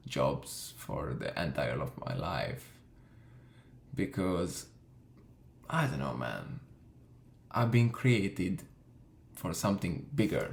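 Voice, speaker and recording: A man's voice: low voice, gravelly, slight background noise. A young man from Hungary tells his story in a casual, profane way, with lots of fillers. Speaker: vlogger. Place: room in London. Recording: echoey room.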